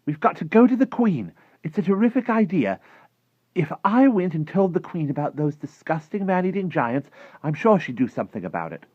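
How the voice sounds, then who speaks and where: A man voicing a feisty, indignant, excitable young girl who argues insistently in a children's storybook. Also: sits high, a little slow, few pauses, husky, no room sound, phone-quality sound, pitched above the performer's own voice, heavily acted. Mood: excited. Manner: insistent, exclamatory, argumentative. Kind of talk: animated children's storybook character reading.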